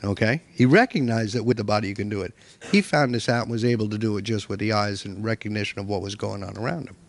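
A man's voice: fairly low pitch, fast. Man coaching a live workshop. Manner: directive, coaching step by step. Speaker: workshop presenter. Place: hotel meeting room.

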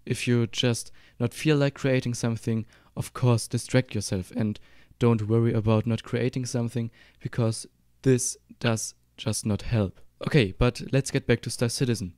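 German-accented man teaching Blender, crisp close voice. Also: mid-range voice, darkish tone, no room sound. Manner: upbeat, explanatory, slows down for instructions. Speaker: Blender tutorial YouTuber. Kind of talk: screen-recorded tutorial voice-over.

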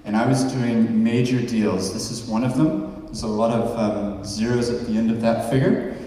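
A man's voice: lowish voice, steady tone, normal speed, mellow. Man talking from far off in an echoey hall. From New Zealand. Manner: conversational, asks the audience questions. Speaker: podcast host giving a talk. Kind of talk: short conference talk. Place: large hall with a stage. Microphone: handheld mic, heard from far off.

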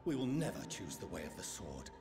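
A man's voice: deep voice, sing-song, fairly quick. A man playing a proud, unyielding councilman in a video game, speaking in a solemn, declamatory manner. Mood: resolute. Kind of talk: scripted video game acting. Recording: echoey hall reverb, music behind.

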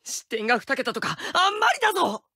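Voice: sits high, very animated, a little fast. An actor playing a cowardly, whining teenage boy swordsman in an anime game, wailing frantic complaints. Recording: loud game music behind the voice.

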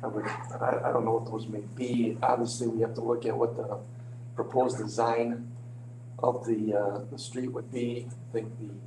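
A man speaks as a local official giving an informal briefing in an echoey meeting room. His mid-pitched voice is a little crackly and he feels his way through sentences haltingly. A clear hum sits behind him. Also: little pitch movement. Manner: hesitant, halting, hedging. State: slightly crackly voice. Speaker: village official presenting to a board. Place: indoor village board meeting room.

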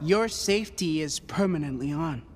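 Excitable cocky young hustler, animated. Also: male voice, fairly high pitch, moderate pace, raised voice. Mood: smug.

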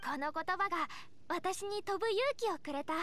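A woman's voice: very high voice, moderate pace, a bit bright, a little hiss or hum. A woman playing a cheerful, eager young knight scout in an anime game, girlish, chirpy and earnest. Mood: bashful, earnest. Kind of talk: Japanese anime game voice acting.

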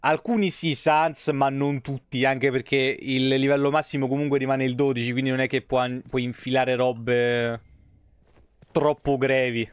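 A man's voice: medium pitch, flat delivery, normal speed, clean tone, no echo. A man in his thirties with a Roman Italian accent, giving casual, slangy live game commentary and chatting with viewers in rambling asides. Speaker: game streamer.

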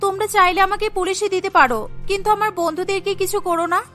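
Woman voicing a bright, high-pitched young bandit woman. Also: fairly even intonation, fast talker, faint music behind. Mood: pleading, resigned. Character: bold young female village bandit, defiant and earnest.

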